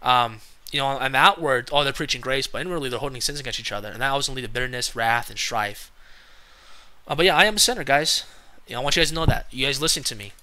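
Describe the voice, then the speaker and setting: A man's voice: medium pitch, bright, forceful tone, rough recording. Earnest young man preaching casually. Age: 20s. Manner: earnest, halting, many fillers and restarts. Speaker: lay preacher. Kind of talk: casual spoken sermon to camera.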